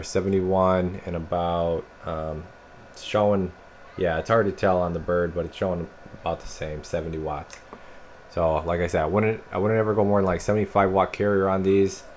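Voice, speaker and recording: Low-voiced American man, noisy background. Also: moderate pace. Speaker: CB radio technician demonstrating equipment. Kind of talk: casual hands-on demonstration. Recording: steady noise behind the voice. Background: clear background noise.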